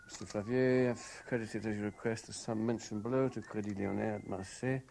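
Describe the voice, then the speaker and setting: A man's voice: fairly low pitch, fairly even intonation, fairly quick, creaky, noisy background. An actor playing an intense, haunted Frenchman obsessed with a lost love, insistent and brooding, in 1970s radio drama style. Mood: troubled.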